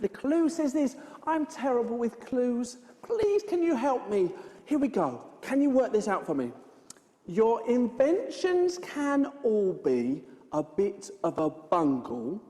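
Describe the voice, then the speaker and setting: A woman playing an excitable, scatty, friendly adventurer in an interactive children's stage show, exaggerated and playful as she talks straight to the children. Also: high-pitched, heavily acted. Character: excitable, scatty, friendly adventurer setting off by hot air balloon. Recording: echoey hall with long reverb.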